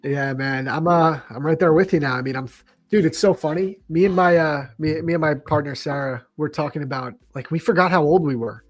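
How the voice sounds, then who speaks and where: A man's voice: a little high, a little fast, faint music behind. A man in his early thirties hosting a trading livestream, upbeat and chummy, casually calling people bro. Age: 30s. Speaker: livestream host.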